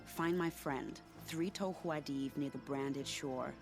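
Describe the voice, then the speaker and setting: A woman's voice: sits low, takes it slowly, over music. An actress playing a confident, scheming spy in a video game, teasing and theatrical in her delivery. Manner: teasing, silky, dramatic. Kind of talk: video game cutscene dialogue acting.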